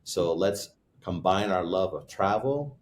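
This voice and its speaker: A man's voice: low-pitched, animated, a little slow. A man who co-hosts a wine retreat, telling a rambling story in casual chat, often stopping to correct himself. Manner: rambling, searches for words, self-corrects. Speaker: guest, wine retreat co-host.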